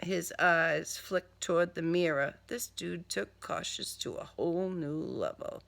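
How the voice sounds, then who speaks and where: Slow, creaky-voiced woman reading crime fiction. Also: lowish voice, a bit bright. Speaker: author reading own novel. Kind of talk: scripted first-person crime audiobook narration.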